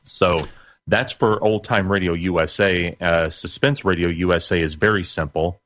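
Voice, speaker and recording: A middle-aged man explaining things step by step as an interview guest, in a measured, halting way. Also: low voice, steady tone, fairly bright tone, dry recording.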